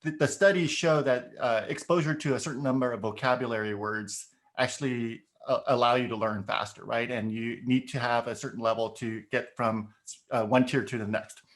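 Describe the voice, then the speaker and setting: A man's voice: medium pitch, steady tone, normal speed. Thoughtful man, native Korean speaker. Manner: thoughtful, explains with examples, frequent "right?". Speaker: education podcast guest. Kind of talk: casual discussion.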